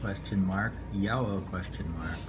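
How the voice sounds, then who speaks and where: A man reading poetry informally, unhurried and rambling, repeating lines and adding offhand commentary. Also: sits low, over music. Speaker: poetry reader. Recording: noisy room ambience, amateur home recording.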